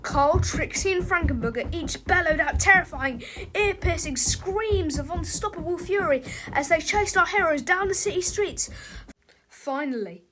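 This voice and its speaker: A woman's voice: extremely high pitch, expressive, moderate pace, fairly bright tone. A woman reading a children's storybook aloud as narrator, in a dramatic, theatrical manner.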